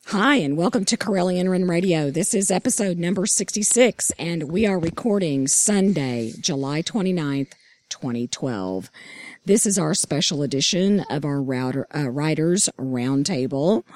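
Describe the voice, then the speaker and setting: Hoarse low-voiced woman host. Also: animated, moderate pace, darkish tone, no echo. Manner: upbeat, enthusiastic. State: hoarse, lost voice. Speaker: podcast host. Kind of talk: casual roundtable chat.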